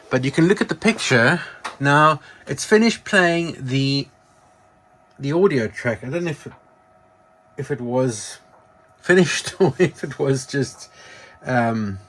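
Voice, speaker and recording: A man's voice: medium pitch, fairly bright tone. A man casually presenting a piece of vintage electronics, rambling informally and correcting himself as he goes. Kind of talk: casual product show-and-tell.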